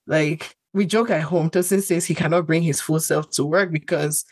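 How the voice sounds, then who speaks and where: A woman's voice: low voice, fairly even intonation, moderate pace, darkish tone, breathy edge, no room sound. A woman, a podcast co-host whose first language is not English, chatting casually and thoughtfully with many fillers and restarts. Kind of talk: casual chat.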